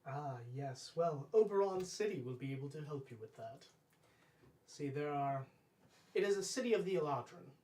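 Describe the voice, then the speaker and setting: A man in his thirties running a tabletop roleplay as game master, speaking formally and deliberately in character. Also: fairly high pitch, animated, dark tone. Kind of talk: tabletop roleplay narration, speaking as a fae ruler. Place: indoor room, live-streamed tabletop game. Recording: roomy, distant mic, faint noise.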